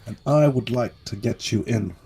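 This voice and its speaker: A man's voice: medium pitch, moderate pace, creaky, warm tone, a little hiss or hum, natural voice. A man playing a scheming, bony male summoner who talks formally and stammers and restarts often. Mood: persuasive. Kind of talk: tabletop roleplay in character.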